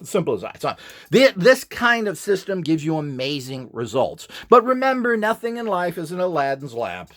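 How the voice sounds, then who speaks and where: A man's voice: fairly high pitch, sing-song, normal speed, a bit bright. A man giving an informal instructional monologue in a blunt, opinionated, emphatic and rambling way. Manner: opinionated, blunt, scornful of rivals. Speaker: presenter selling upgrade equipment.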